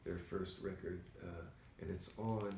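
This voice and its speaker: A soft-spoken man co-hosting a casual chat in a record store, talking in a low-key, rambling way. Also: steady tone, measured pace, a little hiss or hum. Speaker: record store owner co-host. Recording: echoey store, mic at a distance.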